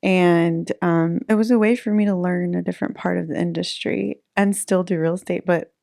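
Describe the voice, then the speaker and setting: A woman's voice: fairly low pitch, fairly even intonation, normal speed, creaky, darkish tone, dry recording, clean studio sound. A woman in her thirties, a real estate agent chatting casually in an interview, laughing easily between fillers. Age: 30s.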